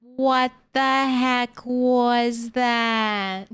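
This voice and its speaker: A woman's voice: a little high, flat delivery, drawn-out words, clean tone. A young adult woman streaming a game, chatting casually, thinking aloud and laughing often. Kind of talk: casual chat while gaming.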